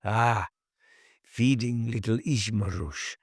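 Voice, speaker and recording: A man voicing an eerie, poker-faced Hungarian man with a smooth, polite and guarded delivery in an audiobook. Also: on the low side, slow pace, dry recording, studio quality, voice pushed up, exaggerated voice. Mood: guarded, deadpan. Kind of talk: audiobook character voice.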